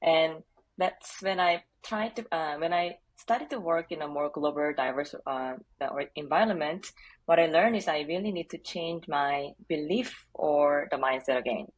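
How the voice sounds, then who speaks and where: Korean-accented woman, soft husky voice. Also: deep voice, moderate pace, rough recording. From South Korea. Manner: earnest, laughs lightly between points. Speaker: HR leader, podcast guest. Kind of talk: conversational interview answers.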